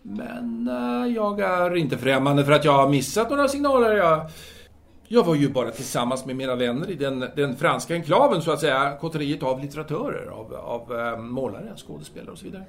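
An actor playing a candid, self-assured male French intellectual in an audio drama, speaking formally and deliberately with hesitant pauses. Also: a little high, sing-song, vocal fry. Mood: casual, explanatory.

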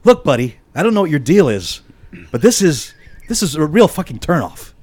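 A man's voice: fairly high pitch, sing-song, moderate pace, darkish tone, breathy edge, no echo, voice pushed up. A man voicing a blunt, irritated, foul-mouthed guy in dialogue from a comic story. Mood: annoyed. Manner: blunt, exasperated.

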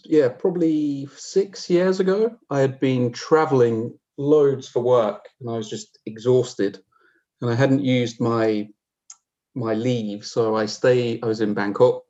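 A man's voice: mid-range voice, warm tone. Englishman in his forties, slightly muffled recording. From south coast of England. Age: 40s. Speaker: interview guest, street photographer. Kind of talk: conversational podcast interview.

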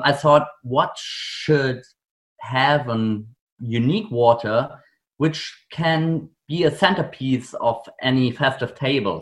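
A man with a German accent, a native German speaker, answering as a guest on a podcast, earnest and hesitant with many ums. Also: mid-range voice. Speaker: guest, company founder. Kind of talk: interview answers.

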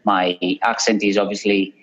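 A man from Italy with an Italian accent, a podcast guest answering questions in a halting way with many fillers and restarts. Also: on the low side, level tone, fairly bright tone, lo-fi sound. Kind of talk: casual interview answers.

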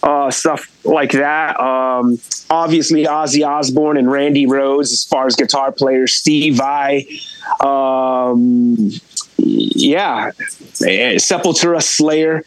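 A man in his late forties from Puerto Rico, a metal guitarist giving a relaxed, rambling interview with lots of fillers. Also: mid-range voice, takes it slowly, dry recording, low-quality recording. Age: 40s. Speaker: metal band guitarist, guest. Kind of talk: casual interview.

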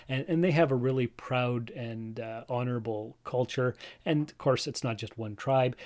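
A man's voice: mid-range voice, a little mellow. Rambling middle-aged man talking solo. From Canada. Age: 40s. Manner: rambling, with frequent fillers and restarts; speaks directly to his listeners. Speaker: podcast host. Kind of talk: casual solo monologue.

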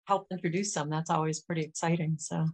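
A woman's voice: deep voice, steady tone, fast talker, slightly rough. A woman hosting a book podcast, asking questions and giving friendly short replies. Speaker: podcast host. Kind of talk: casual interview chat.

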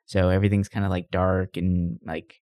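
A man's voice: deep voice, little pitch movement, normal speed, a little mellow, no echo. A man co-hosting a podcast, chatting casually and giving recommendations in loose, informal phrasing. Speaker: podcast co-host. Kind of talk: casual chat, recommending anime.

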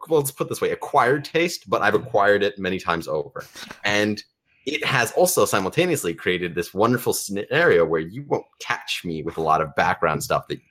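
A low-pitched teenage boy who grew up speaking Romanian, calling in to a casual talk show. His delivery is lively and full of restarts, jumping from point to point. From United States and Romania. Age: teens. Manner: animated, restarts often, rambling. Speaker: caller. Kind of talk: casual chat on a call-in show.

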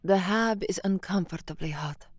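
An actress playing a weary young woman newsreader in an audio drama, with a measured, subdued delivery. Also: mid-range voice, darkish tone, dry recording, soft background music, natural voice. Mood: weary, introspective. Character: weary young woman newsreader narrating her own dystopian life. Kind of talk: first-person audio drama narration and newsreading.

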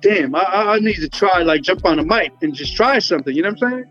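A man's voice: high-pitched, animated, fast, fairly bright tone. A man from Liberia, a musician talking casually in an interview, laid-back and full of slang and fillers. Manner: laid-back, slangy, many fillers and "you know what I'm saying". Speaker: guest musician. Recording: dull lo-fi sound with faint music.

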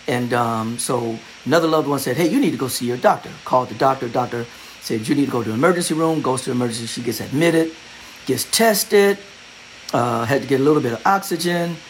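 Preacher with repetitive rising emphasis. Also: male voice, mid-range voice, a little fast, faint music behind, rough recording. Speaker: pastor. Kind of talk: sermon.

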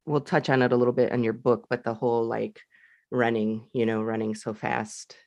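A man hosting a relaxed interview, affirming his guest often and asking open questions. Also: mid-range voice, normal speed, vocal fry, no echo, muffled recording. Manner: warm, affirming listener with frequent backchannels. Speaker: host. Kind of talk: casual interview.

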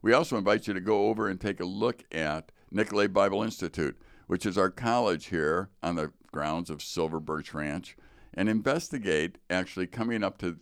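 American male podcast host, middle-aged. Low pitch, clean resonant tone, conversational and explanatory, close dry sound. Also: moderate pace, clean tone, no echo. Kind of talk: conversational interviewing. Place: podcast studio.

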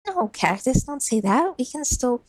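Brief: woman reading a young man, earnest and pleading, high and hurried, husky dry sound. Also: big pitch swings, dry recording, heavily acted. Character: eager, trusting young man, hopeful assistant.

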